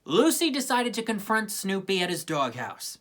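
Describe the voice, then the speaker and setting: Woman, children's story reader, expressive, medium pitch, swooping intonation. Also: normal speed.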